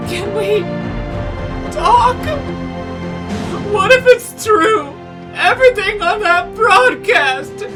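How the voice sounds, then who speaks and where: Super high squeaky cartoon princess, anxious n emotional, talks real slow, loud music behind. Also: female voice, heavily acted. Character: young sea princess, anxious, emotional, remorseful. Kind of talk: animated comic dub voice acting.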